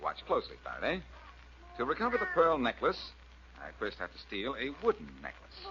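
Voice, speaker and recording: An actor playing a cocky, sardonic amateur detective in a vintage radio drama, on a dull, hissy old recording. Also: male voice, medium pitch, wildly swooping pitch, fairly quick. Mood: teasing, cocky. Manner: sardonic, taunting, self-assured. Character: cocky, wisecracking amateur detective, reformed rogue.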